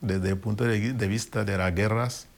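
Deep-voiced man calmly recounting his story. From Rwanda. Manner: reflective, unhurried storytelling with frequent restarts. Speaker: interview guest. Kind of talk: personal testimony in interview.

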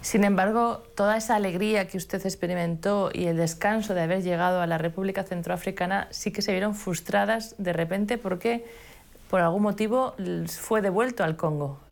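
A woman's voice: medium pitch, normal speed, vocal fry. A woman interviewing a guest, setting out background context before asking her questions attentively.

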